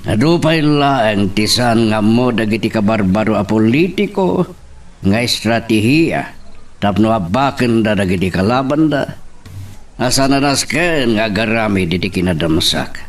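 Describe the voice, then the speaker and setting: A man's voice: fairly low pitch, expressive, a little slow, soft background music. A man acting a reassuring yet plotting small-town mayor in an Ilocano audio drama, with an Ilocano accent. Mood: scheming. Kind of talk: amateur Ilocano radio-style drama acting.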